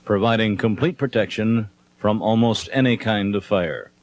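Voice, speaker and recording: Man narrating an instructional film, measured delivery. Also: lowish voice, a little hiss or hum. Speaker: documentary narrator.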